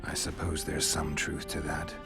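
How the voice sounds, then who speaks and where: A world-weary monster hunter in a fantasy game, extremely deep and gravelly. He talks in short, dry, guarded lines over faint music. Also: normal speed. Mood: wry, guarded. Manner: deadpan, terse, guarded. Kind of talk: video game cutscene voice acting. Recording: music behind the voice.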